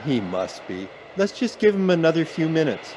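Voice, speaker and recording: A man acts out a male traveller stranded in a snowstorm, in a naturalistic radio play. His pitch swings widely, and he is anxious yet wry and self-deprecating. There is faint noise of the storm around him. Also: very animated, moderate pace. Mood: uneasy.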